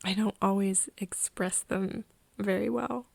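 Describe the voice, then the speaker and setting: A woman acts out a tender, nervous young human confiding in a vampire friend in an intimate ASMR roleplay. She speaks slowly and hesitantly, drifting between soft talk and whispering. Her voice has a creaky edge and sits close and dry. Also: medium pitch, fairly even intonation, dry recording. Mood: sheepish, emotional. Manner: hesitant, halting, breathy whispering mixed with soft speech. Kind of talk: ASMR roleplay, intimate one-sided dialogue to listener.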